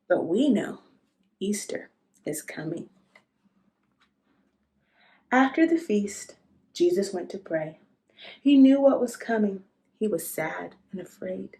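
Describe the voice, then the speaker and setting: A woman reads aloud for young children in an expressive storybook style. Her voice sits at a medium pitch and moves slowly, giving each phrase plenty of time. She speaks as a read-aloud host addressing little listeners directly.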